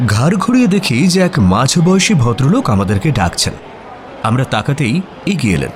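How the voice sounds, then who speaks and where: An actor playing a detective's companion, narrating a mystery audio drama in expressive scripted storytelling. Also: male voice, medium pitch, moderate pace, slight background noise, natural voice. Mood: observant, engaged. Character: male detective's companion recounting the case as storyteller.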